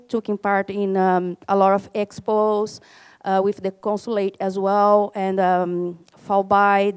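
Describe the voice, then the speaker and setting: Brazilian woman in her 30s, smooth clear voice, hesitant conference delivery with fillers. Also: mid-range voice, a little mellow. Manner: hesitant, many fillers and restarts. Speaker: alumni ambassador speaker. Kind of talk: conference talk with Q&A. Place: conference room.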